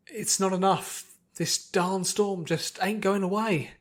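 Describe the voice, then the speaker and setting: A man performs an anxious, excitable pony in a fantasy storybook. The voice is pitched up and sounds worried and urgent. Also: slow pace. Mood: rambling. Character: anxious pony friends facing a storm, excitable.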